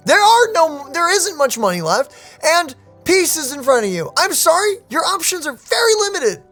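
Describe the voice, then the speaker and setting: A woman's voice: very high-pitched, very animated, moderate pace, a bit bright, faint music behind. A young woman joking sarcastically while commentating a game. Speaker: gameplay commentator. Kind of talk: casual gameplay banter.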